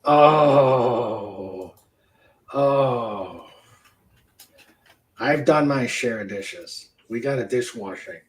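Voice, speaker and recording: A man hosting a casual livestream chat, speaking haltingly with many fillers. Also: mid-range voice, animated. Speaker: host.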